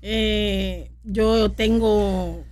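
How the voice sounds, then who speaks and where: A woman's voice: mid-range voice, fairly even intonation, a little mellow. Fervent middle-aged woman pastor. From Panama. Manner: brisk, fervent, hesitant fillers. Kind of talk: radio guest testimony. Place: radio studio.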